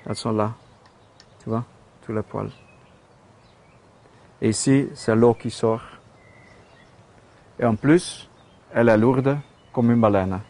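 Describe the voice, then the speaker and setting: Flemish-accented man, slow and hesitant. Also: medium pitch, warm tone. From Belgium. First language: Dutch. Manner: hesitant, many fillers and restarts. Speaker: metal sculptor. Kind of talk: casual studio tour.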